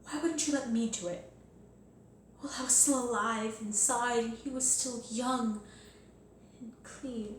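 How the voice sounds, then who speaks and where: A woman playing a bitter, wounded young Southern daughter in a stage monologue, deliberate, pleading and accusatory, with heavy pauses. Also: fairly high pitch. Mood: pleading, grieving. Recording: echoey room, faint noise.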